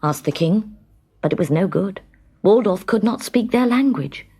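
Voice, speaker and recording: A woman narrating a scripted children's story in a dramatic, expressive manner. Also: mid-range voice, moderate pace, slight background noise. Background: music.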